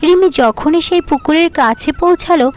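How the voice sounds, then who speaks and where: A woman's voice: high-pitched, moderate pace. A woman narrator reading a scripted fairy-tale cartoon story. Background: music.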